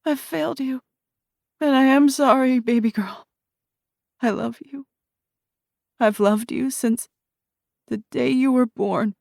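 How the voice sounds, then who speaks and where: A woman's voice: sits high, takes it slowly, gravelly, pauses often, darkish tone, no room sound, clean studio sound, pitched above the performer's own voice, exaggerated voice. Woman voicing a despairing sick mother, mumbled, slurred words. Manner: mumbling, thick and bleary.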